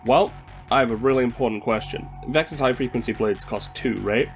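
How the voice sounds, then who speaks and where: A man's voice: mid-range voice, brisk. A man playing a tabletop role-playing game, casually asking about rules and tactics. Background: music.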